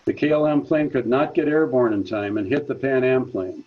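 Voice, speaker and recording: A man's voice: mid-range voice, narrow, tinny audio. A man presenting a prepared talk at a senior center, reading steadily from his notes. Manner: measured, reads from notes.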